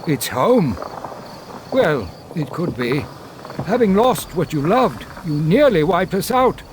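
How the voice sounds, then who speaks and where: Slow, solemn, wise creature guide. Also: male voice, on the high side, sing-song, background noise. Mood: solemn counsel. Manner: solemn, deliberate, oracular. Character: weary, grave wise creature guiding a girl. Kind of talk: dark fantasy video game acting.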